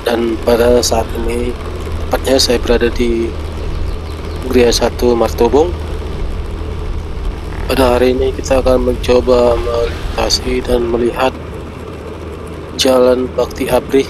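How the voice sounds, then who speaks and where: A man's voice: medium pitch, completely flat delivery, measured pace, pauses often. A man, chatting to viewers as he vlogs while travelling along flooded city streets. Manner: chatty, keeps saying "friends" to viewers. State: stuffy nose from a cold. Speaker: vlogger. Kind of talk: casual travel vlog narration. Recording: traffic noise outdoors.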